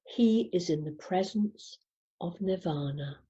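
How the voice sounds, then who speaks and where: A woman reading scripture aloud in a measured, deliberate way, pausing between short phrases. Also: fairly low pitch, warm tone. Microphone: video call over Zoom. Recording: dull, lo-fi video call.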